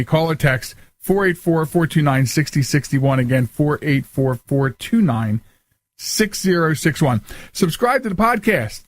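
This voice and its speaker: A man's voice: a little high, no room sound. A man hosting a radio talk show in casual, informal chat, often repeating and rephrasing himself.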